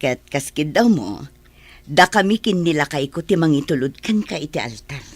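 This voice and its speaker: Woman acting a low-voiced, animated elder sister. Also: moderate pace. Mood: bustling. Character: doting elder sister, admiring and encouraging. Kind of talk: audio drama acting.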